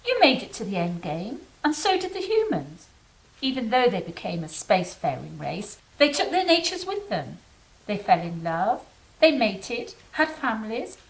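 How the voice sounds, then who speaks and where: Woman voicing an optimistic female angel, fairly high voice, lively swooping pitch, playful, affectionate, audio drama. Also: moderate pace, low-quality recording. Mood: warm, encouraging.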